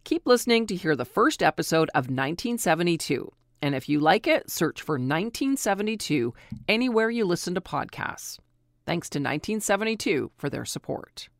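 A woman's voice: on the low side, very animated, a little fast, no echo, clean studio sound. A woman podcast host reading a scripted sponsor message. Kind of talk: scripted ad read.

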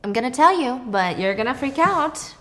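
A woman voicing a calm, creepy male kidnapper in a story, matter-of-fact and coaxing. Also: high-pitched, normal speed. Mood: calm, evasive. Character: calm, creepy young man, polite kidnapper.

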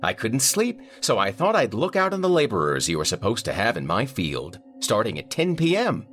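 A man doing a booming, irate winery owner. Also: very animated, measured pace, fairly bright tone, faint music behind, full character voice. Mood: indignant. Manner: angry, blustering, booming.

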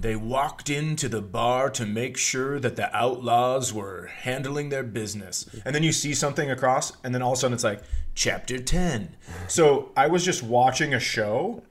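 A middle-aged American man with a mid-range voice talks casually on a comedy podcast. He tells animated stories and playfully puffs himself up as he goes. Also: medium pitch.

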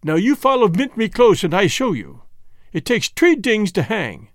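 A man voicing a cunning, excitable condemned man who taunts in broken English with a thick Germanic accent, as in an audiobook story. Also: high voice, normal speed, no room sound, clean studio sound, pitched above the performer's own voice. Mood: slyly triumphant.

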